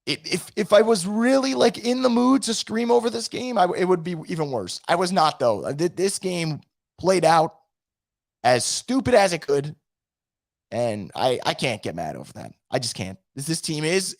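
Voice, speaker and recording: A man hosting a sports recap stream, hoarse and losing his voice from feeling sick, ranting and reading out chat comments. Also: a little high, normal speed, no echo, studio quality. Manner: animated, frustrated, swearing. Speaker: sports podcast host.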